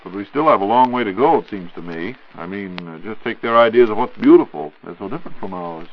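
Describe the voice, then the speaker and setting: American man, vintage radio questioner. Fast delivery, conversational scripted prompts, dull 1940s broadcast sound. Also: on the low side, lively intonation, slight background noise. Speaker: co-host putting questions to the expert. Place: radio studio. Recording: muffled 1940s radio recording.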